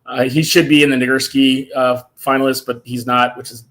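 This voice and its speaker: A man hosting a casual fan live stream, excited and a little hoarse from cheering, reading out viewer comments. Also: medium pitch, brisk. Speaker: fan show host.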